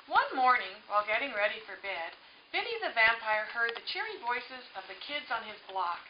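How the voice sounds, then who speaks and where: A woman's voice: a little high, big pitch swings, fairly quick. A woman teacher reading a picture book aloud to young children, explaining simply and checking in with short questions. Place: echoing room with a small group of children. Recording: echoey, distant, muffled, noisy room recording.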